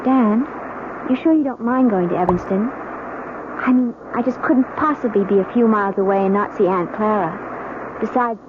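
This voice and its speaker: Eager young bride for classic radio drama, female actor, gushing delivery, thin old broadcast with steady noise. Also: medium pitch, normal speed, phone-quality sound, natural voice. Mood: blissful, gushing. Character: giddy, eager young newlywed wife.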